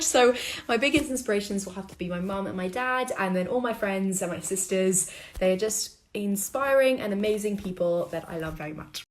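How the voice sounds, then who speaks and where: A teenage girl from New York City with a mostly British accent, answering fan questions in a bubbly, giggly, chatty vlog from her bedroom. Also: fairly high pitch. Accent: British with occasional American traces. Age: teens. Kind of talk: casual Q&A vlog. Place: bedroom at home. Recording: echoey room.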